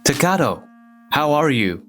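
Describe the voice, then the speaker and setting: A man's voice: fairly high pitch, big pitch swings, unhurried, fairly bright tone, faint music behind, pitched above the performer's own voice. Man putting on a friendly, capable young male office rival, voice lifted above his usual, manga dub dialogue.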